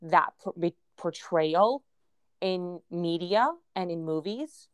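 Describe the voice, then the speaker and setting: A woman's voice: lowish voice, takes it slowly, clear, resonant tone, no echo, studio quality. A woman from Ukraine whose first language is Russian, co-hosting a casual podcast chat in an earnest, circling way with many fillers. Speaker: podcast co-host.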